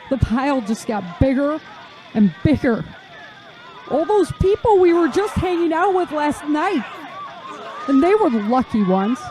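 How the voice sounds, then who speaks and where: A woman's voice: high voice, darkish tone. A woman playing an anguished mother caught up in a disaster, in an audio drama with noisy outdoor surroundings. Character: anguished mother and EMT-trained survivor, overwhelmed by disaster. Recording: noisy outdoor scene with crowd ambience.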